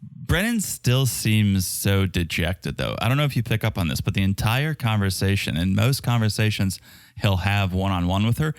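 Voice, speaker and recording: A man's voice: fairly low pitch, moderate pace, no echo. A man co-hosting a podcast about a reality show, chatting casually with a sarcastic edge and whose first language is Czech. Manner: sarcastic, quotes show participants with lively impressions. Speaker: podcast co-host.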